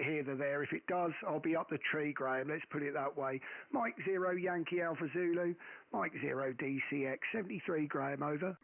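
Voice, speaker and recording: A man who runs a ham radio stream, chatting casually with a loud voice and lots of fillers and restarts. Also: a little high, creaky, no echo. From England. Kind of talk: casual radio contact chat. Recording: tinny radio audio, dry.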